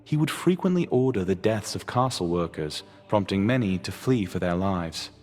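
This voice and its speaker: A man playing a solemn storyteller, delivering measured scripted narration of fantasy lore in a video game. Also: lowish voice. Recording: music under the voice.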